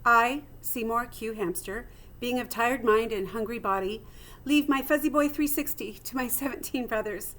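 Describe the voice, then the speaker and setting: A woman's voice: fairly high pitch, moderate pace, a bit bright, natural voice. A woman voicing a small, plucky male hamster who reads his diary aloud theatrically and earnestly, as in a children's storybook. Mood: gloomy, melodramatic. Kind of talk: storybook read-aloud in first person.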